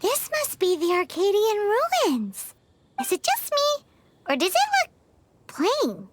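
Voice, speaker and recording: A woman voicing a tiny, childlike female fairy companion in a video game, squeaky, excitable, greedy and chatty. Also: slow pace, background noise, heavily acted. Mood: excited, adventurous. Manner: excitable, refers to self in third person. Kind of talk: video game character acting. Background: game music.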